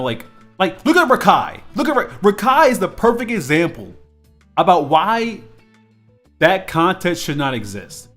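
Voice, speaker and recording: A man's voice: high-pitched, a bit bright. A man in his twenties, a streamer, chatting casually and rambling with lots of fillers and restarts while he draws on a live stream. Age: 20s.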